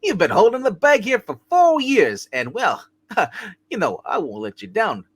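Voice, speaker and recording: A man acting a cheerful, boyish younger brother in a hammed-up amateur reading, chatty and halting. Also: high voice, very animated. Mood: earnest.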